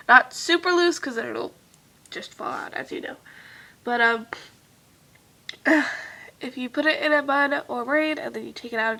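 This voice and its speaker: A young woman chatting casually to her viewers in a vlog, her nose stuffed and congested, rambling along with lots of fillers and trailing off softly now and then. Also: a little high. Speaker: vlogger.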